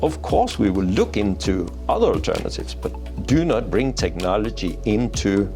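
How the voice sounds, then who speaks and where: Gravelly low-voiced Danish-born man. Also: big pitch swings, normal speed, music in the background. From Denmark. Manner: explanatory, self-restarting. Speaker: payments strategy executive, guest. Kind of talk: interview answers. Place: office in Oslo.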